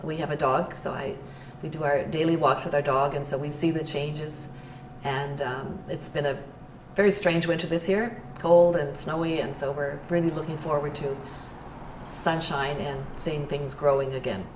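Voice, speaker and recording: An older woman from Edmonton, giving thoughtful, unhurried interview answers as an arts venue owner. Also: sits low, fairly even intonation, a little hiss or hum. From Edmonton, Canada. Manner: thoughtful, unhurried, searching for words. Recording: roomy sound, distant mic, background music.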